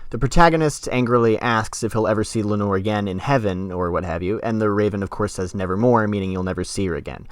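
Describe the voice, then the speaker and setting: Low-pitched man explaining literature casually. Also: quick pace, few pauses, dry recording. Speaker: podcast host.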